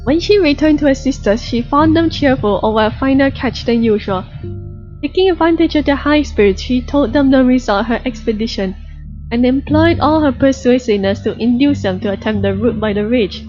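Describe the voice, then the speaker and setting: Female audiobook narrator, storytelling read, slightly muffled sound with music clearly underneath. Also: high voice, animated, a little fast, a little mellow. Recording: dull lo-fi recording, music behind. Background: background music.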